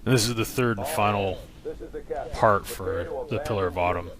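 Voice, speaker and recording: A man's voice: fairly low pitch, moderate pace, a crowd in the background. A man giving casual, laid-back instructional commentary as a game walkthrough narrator, explaining matter-of-factly. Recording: noisy recording with game sound behind. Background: video game sound.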